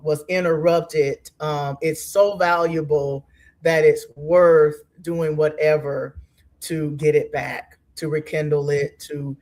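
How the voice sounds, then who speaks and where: Lady guest on a panel, takes her time, earnest n thoughtful, pauses between phrases. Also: deep voice, a little mellow. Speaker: panel guest from a stage play. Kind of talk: reflective interview answer.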